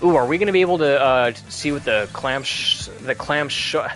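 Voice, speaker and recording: A man's voice: medium pitch, brisk, a bit bright, faint music behind, low-quality recording. A man giving casual, chatty commentary while playing a video game, rambling and often restarting his sentences. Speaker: gameplay commentator. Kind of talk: casual let's play commentary.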